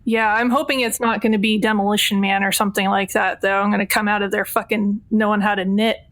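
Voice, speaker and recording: A wry thief played by a woman talks with resignation and some hesitation. It is a tabletop game performance in a medium-pitched female voice. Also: flat delivery, fast, fairly bright tone, natural voice. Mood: teasing.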